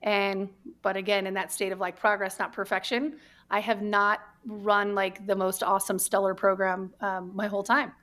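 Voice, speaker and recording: A woman's voice: medium pitch, little pitch movement, normal speed, fairly bright tone. An American woman from New Mexico with a mid-range voice speaks as a podcast guest. She tells her story candidly and earnestly. She often halts and repeats words for emphasis as she goes. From New Mexico, United States. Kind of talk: candid personal storytelling in conversation.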